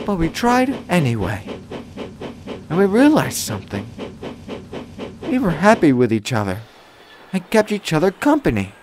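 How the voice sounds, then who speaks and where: Man putting on a high, gentle young woman. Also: background noise. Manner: soft, kindly, earnest. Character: kind, gentle young woman with consoling warmth.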